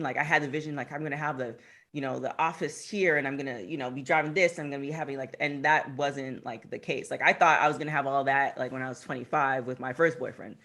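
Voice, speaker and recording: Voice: medium pitch, a bit bright. A man in his thirties co-hosting a podcast, talking in a rambling way with lots of fillers. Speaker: podcast co-host. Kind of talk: casual podcast chat.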